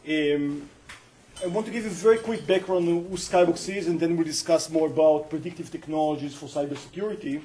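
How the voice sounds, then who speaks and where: A middle-aged man with an Israeli accent, lecturing to a university audience. His voice sits a touch high for a man but sounds soft and mellow rather than bright. He explains things earnestly, with frequent hesitations and restarts as he finds his words. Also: slight background noise, low-quality recording. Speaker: company founder giving a guest lecture. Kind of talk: university colloquium talk.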